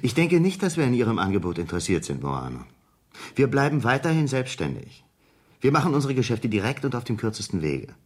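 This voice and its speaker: A husky, defensive cornered businessman. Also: mid-range voice, sing-song, brisk. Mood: cool, defiant. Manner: stiff, protesting, evasive. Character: cornered, defensive businessman in shady drug trade. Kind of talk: 1970s German radio crime drama acting.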